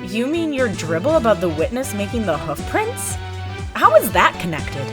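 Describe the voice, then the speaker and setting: A woman voicing a prim, sharp-tongued female rabbit prosecutor with a dry manner. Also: medium pitch, wildly swooping pitch, normal speed, music in the background.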